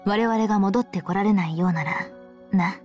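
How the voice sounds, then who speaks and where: A woman playing a composed, commanding young female leader in an anime visual novel game, with measured, formal, authoritative speech. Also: medium pitch, a little mellow, close to an everyday voice. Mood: calm, resolute. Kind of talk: Japanese visual novel acting and narration. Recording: background music under the voice.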